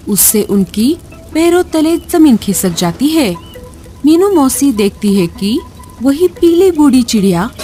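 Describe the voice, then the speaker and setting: A woman's voice: medium pitch, dark tone. A woman narrating a scripted children's horror story as a storyteller. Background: music.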